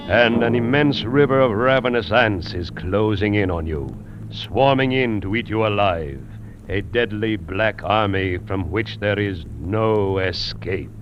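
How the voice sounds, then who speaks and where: Low, ominous male radio suspense announcer. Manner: ominous, dramatic. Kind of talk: 1950s radio suspense trailer. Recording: muffled old radio recording, music behind.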